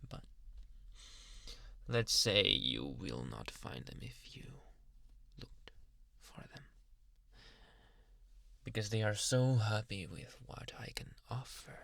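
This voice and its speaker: A man playing a possessive, softly menacing psychiatrist in an ASMR roleplay, coaxing in a hushed, breathy, deliberate voice. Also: big pitch swings, no room sound, full character voice. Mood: smug, reassuring. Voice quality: breathy, gravelly low voice.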